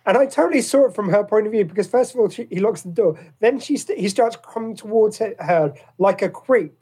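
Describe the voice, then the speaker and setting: A man chats as a podcast co-host in a fairly high voice for a man. He is animated and informal, with a playfully exasperated way of making his points about shows and films. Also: a little fast, breathy edge. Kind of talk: casual fan chat.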